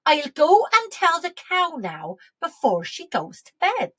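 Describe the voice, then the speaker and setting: A woman's voice: sits high, wildly swooping pitch, measured pace, a bit bright, voice pushed up, exaggerated voice. A woman playing a deferential, eager-to-please young dairymaid in a children's verse story, speaking politely and carefully. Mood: obliging.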